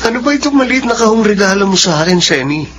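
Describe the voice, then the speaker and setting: A man's voice: high-pitched, very animated, quick pace, over loud music. An actor playing a bashful, jobless boyfriend in his twenties in a radio drama, emotive and boyish. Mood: bashful, delighted. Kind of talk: Filipino radio drama acting.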